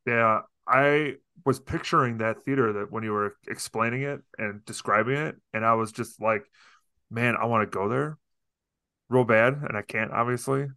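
A man's voice: mid-range voice, normal speed, bright, forceful tone, dry recording. A man hosting a podcast, asking friendly, rambling questions in casual interview talk. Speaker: podcast host.

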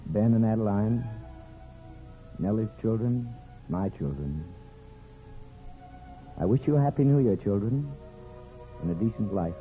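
A man's voice: fairly low pitch, expressive, a little slow, long pauses. An actor playing a weary, plain-spoken small-town barber and father, rueful and wry, acting and narrating in a 1950s radio drama. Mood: tender, wistful. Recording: phone-like old radio broadcast with music.

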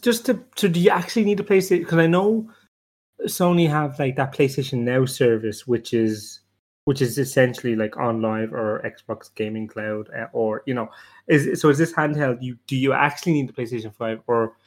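A man's voice: mid-range voice, fairly even intonation, normal speed. A man chatting casually as a podcast panelist, joking and rambling hesitantly as he goes. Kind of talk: casual chat.

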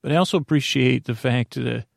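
A man with a creaky, gravel-edged voice rambles soothingly as a sleep podcast host. He halts, circles back and gently repeats himself in a bedtime monologue. The recording is close and dry, with no room sound. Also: medium pitch, no room sound. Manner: meandering, halting, with gentle repetition.

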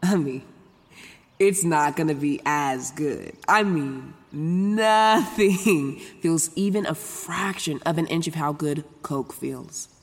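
A woman's voice: sits low, takes it slowly, exaggerated voice. Messy, frank young woman, reverberant sound. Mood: wistful, casual. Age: young adult. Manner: rambling, self-interrupting, sarcastic, casual slangy talk. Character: messy, frank young woman, newly sober, foul-mouthed. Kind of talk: confessional first-person audio drama narration.

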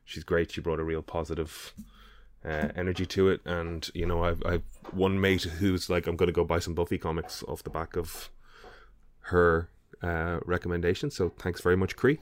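Deep-voiced podcast host. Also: male voice, flat delivery, moderate pace. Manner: upbeat scripted intro, then loose banter. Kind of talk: casual podcast chat.

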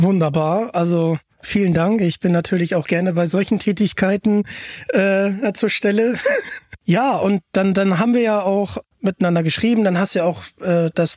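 A man's voice: high voice, moderate pace, a little mellow, no echo, studio quality. A man hosting a podcast in a casual, conversational style. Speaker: podcast host.

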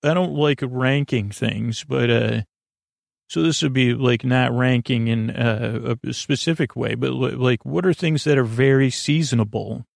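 A man with a creaky voice hosting a bedtime podcast in a meandering, halting monologue, often stammering and restarting as he drifts into tangents. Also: medium pitch, no room sound, studio quality. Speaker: podcast host.

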